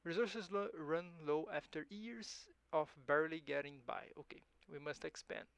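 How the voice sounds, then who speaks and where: A man's voice: a little high, vocal fry, clear, resonant tone, dry recording. A man whose first language is Portuguese, thinking aloud hesitantly while playing a strategy game. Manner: slow, musing, hesitant, self-correcting. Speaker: game streamer.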